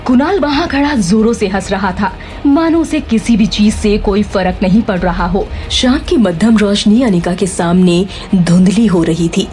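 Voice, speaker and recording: A woman's voice: medium pitch, moderate pace, hardly any pauses, darkish tone. Woman narrating a dramatic story over music.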